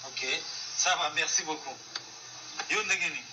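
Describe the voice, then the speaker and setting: A man, an interviewer who prompts briskly and finishes the other speaker's sentences. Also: on the high side, distant mic, background noise.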